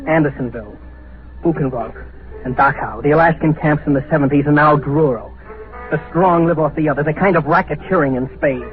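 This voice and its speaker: A man's voice: on the high side, lightly acted. An actor playing a wry, hard-boiled male newspaperman who tells his story in an old radio drama. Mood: grim. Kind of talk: old radio drama first-person narration and acting. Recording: tinny old radio recording, music behind.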